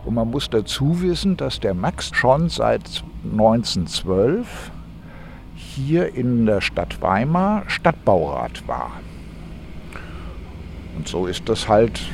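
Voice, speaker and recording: A man from Frankfurt with a light Hessian accent, an architect talking things through thoughtfully in a relaxed outdoor conversation. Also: fairly low pitch, creaky, a little hiss or hum. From Frankfurt, Germany. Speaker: architect, interview guest. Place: outdoors in a park.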